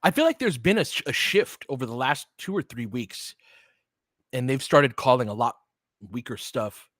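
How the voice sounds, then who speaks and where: A man's voice: medium pitch, expressive, moderate pace, a bit bright, dry recording, clean studio sound. A man calling a basketball game in a conversational, slangy way, swearing casually as he mixes play-by-play with analysis. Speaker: podcast host doing play-by-play.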